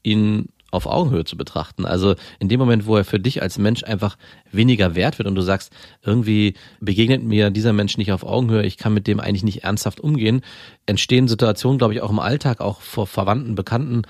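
Low-voiced guy on a podcast talking things through, calm and thoughtful. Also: fast talker, no echo, clean studio sound. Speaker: podcast co-host. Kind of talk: casual chat, giving advice.

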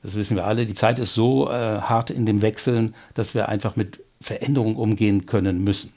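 A middle-aged man, a leadership coach as interview guest, explaining in a measured way with anecdotes and the odd filler. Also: lowish voice, steady tone, warm tone. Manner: tells personal anecdotes to make points. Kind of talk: interview conversation.

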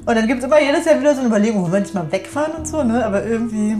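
A woman's voice: fairly high pitch, very fast. Woman chatting casually over background music. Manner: conversational, seeks agreement with tag questions. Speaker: guest in a conversation. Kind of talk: casual chat.

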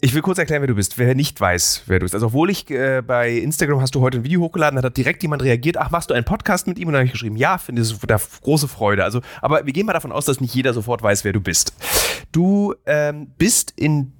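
A man's voice: medium pitch, animated, fast talker, no echo. Chatty male podcast host, dry sound. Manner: chatty, explains things to listeners, prods the guest. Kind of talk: casual podcast interview. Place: hotel room. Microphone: headset microphone.

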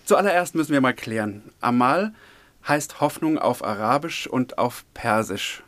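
A man's voice: medium pitch, lively intonation, a little slow, fairly bright tone, no room sound. A male host who reads guest introductions and asks short, friendly questions. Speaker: podcast host. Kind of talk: interview hosting.

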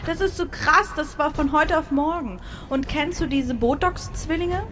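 A woman's voice: sits high, normal speed. A young adult woman co-hosting a podcast, telling stories in casual chat with frequent laughter. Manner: lively storyteller, laughs often. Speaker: podcast co-host. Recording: noisy recording.

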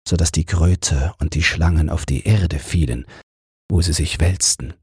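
A male audiobook narrator tells a bedtime fairy tale calmly. His voice is low and heavily breathy, captured close in a dry room. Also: a little slow, dry recording.

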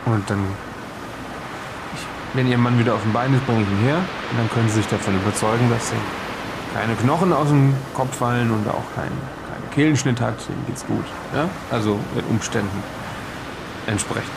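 A man playing a calm, practical ship's captain in an improvised audio drama, halting and repeating himself as he reassures people. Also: mid-range voice, gravelly, very noisy, lightly acted.

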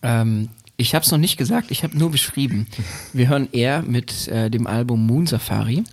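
Man, thirties, halting relaxed talk, lots of ums. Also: medium pitch. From Berlin, Germany. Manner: hesitant, many fillers and restarts, laughs easily. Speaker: guest DJ. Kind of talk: relaxed conversational podcast chat.

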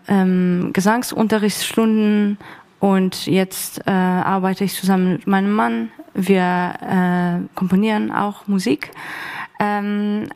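A woman speaking unscripted as an author on a panel, very haltingly, searching for words. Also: mid-range voice, a little mellow. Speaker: radio play author on a panel. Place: stage of an arts academy event.

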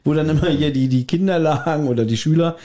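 Higher-voiced man telling a casual anecdote. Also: fast, dark tone. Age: 30s or 40s. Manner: bantering, colloquial. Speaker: podcast co-host.

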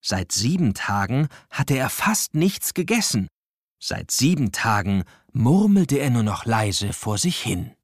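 Man, story narrator, lively intonation, dry studio sound, scripted children's tale. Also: mid-range voice, takes it slowly, dry recording.

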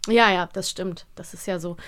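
A woman's voice: fairly low pitch, steady tone, normal speed, a bit bright. A woman co-hosting a podcast about radio plays, chatty and laughing often, dropping in French and English words. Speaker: podcast co-host, quiz master. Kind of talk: casual chat about audio dramas. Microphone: studio microphone.

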